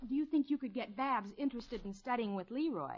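An actress playing a pleasant, practical young American niece in a 1950s radio comedy, polite and affectionate. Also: female voice, on the high side, animated, brisk, slightly rough. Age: young adult. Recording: muffled 1950s radio recording.

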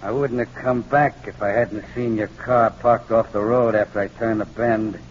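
An actor playing a menacing, gloating gangster with a mock-polite, leisurely manner in a 1940s radio crime drama. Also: male voice, on the low side, steady tone, moderate pace, lightly acted. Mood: smug menace. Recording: dull, noisy old radio recording.

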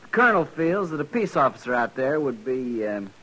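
An actor playing a proud, formal and curt young army lieutenant in a 1950s radio western. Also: male voice, medium pitch, big pitch swings, brisk, sharp tone, rough recording. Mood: stiff, resentful. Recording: old radio recording with background noise.

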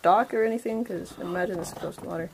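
A woman's voice: on the low side, quick pace, gravelly, very noisy. A woman chatting casually as a player in a tabletop role-playing game. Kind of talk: casual group chat.

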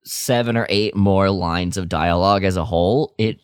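A man co-hosting a podcast in casual fan discussion, halting but emphatic, with "like" sprinkled through his talk. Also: deep voice, clear, resonant tone, dry recording, clean studio sound. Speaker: podcast co-host.

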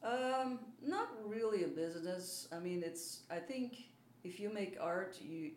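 A woman's voice: on the low side, animated, unhurried, darkish tone. A mature woman with a Belgian accent, a native speaker of French, German and Dutch, talks casually as an interviewed artist in a hesitant, modest way. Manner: self-deprecating, hesitant. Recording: roomy, faint background noise.

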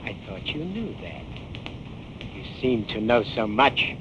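An actor playing a cold, menacing seafaring killer in a 1940s radio crime drama, gruff and threatening. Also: male voice, fairly low pitch, very animated, unhurried. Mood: cold, grim. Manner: gruff, threatening, blunt commands. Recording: noisy old 1940s radio recording.

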